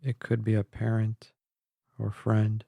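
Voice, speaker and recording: A man guiding a meditation in a calm, gentle, measured way, leaving long pauses between phrases. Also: fairly low pitch, vocal fry, dry recording. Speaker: guided meditation instructor. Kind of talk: slow guided meditation narration. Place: close, dry voice-only recording.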